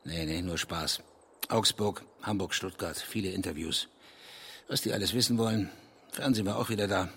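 A restless, gruff poet and evasive lover in a radio drama. His voice is low and husky with a heavy gravelly creak. He speaks in terse, unhurried phrases. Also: fairly bright tone, full character voice. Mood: restless, eager. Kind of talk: literary radio drama dialogue and poetry.

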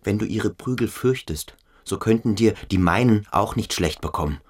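An actor playing a stern, brooding boarding-school pupil who probes and commands in a German radio play. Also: male voice, on the low side, expressive, normal speed, slightly rough. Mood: stern, accusing. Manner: insistent, probing, commanding.

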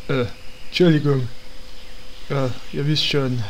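A man's voice: medium pitch, fairly even intonation, slow pace, a little hiss or hum, rough recording. A man playing a childlike, easily distracted orc shaman in a parody audio drama, with simple-minded, clumsy talk. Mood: earnest.

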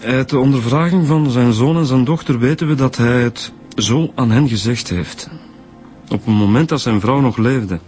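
An actor with a Flemish accent playing a blunt, insinuating police detective in a radio drama. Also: male voice, medium pitch, normal speed, darkish tone, faint music behind, close to an everyday voice. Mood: probing, blunt. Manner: blunt, probing.

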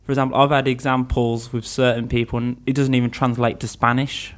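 A man's voice: mid-range voice, little pitch movement, fairly quick. A young man from England, an English teacher, chatting casually in an interview, laughing easily and restarting his sentences.

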